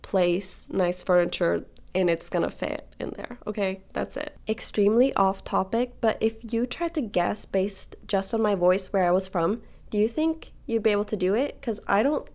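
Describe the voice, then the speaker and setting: A woman's voice: mid-range voice, normal speed, a little mellow. Chatty nineteen-year-old woman rambling. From Sweden, raised in Hong Kong. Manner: rambling, storytelling, talks to viewers directly. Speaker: gaming video creator. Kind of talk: casual chatty voice-over while building.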